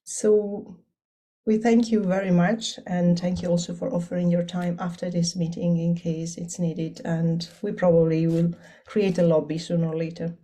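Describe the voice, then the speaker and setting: A woman hosts an online meeting over a video call. Her voice is low and mellow, and she speaks in a gracious, measured way. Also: flat delivery. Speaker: meeting host.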